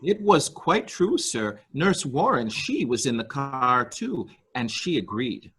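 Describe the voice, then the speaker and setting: A performer playing a polite, hesitant servant loyal to the employer, with a husky voice, in a dramatic murder mystery reading. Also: mid-range voice, very animated, a bit bright, faint music behind, exaggerated voice. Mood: earnest, defensive. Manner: halting, polite, deferential.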